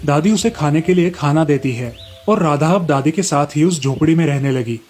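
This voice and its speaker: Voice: medium pitch, moderate pace, a little mellow, soft background music. A narrator reading a scripted children's story in a storybook manner.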